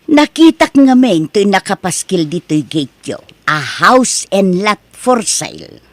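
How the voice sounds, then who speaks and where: A woman playing a brash, animated Ilocano wife. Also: medium pitch, slow, dry recording. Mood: polite, eager. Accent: Ilocano, Philippines. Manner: brash, argumentative, exclamatory. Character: scheming, outspoken middle-aged wife dealing in property. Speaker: actor in radio-style comedy drama. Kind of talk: radio-style comedy drama dialogue.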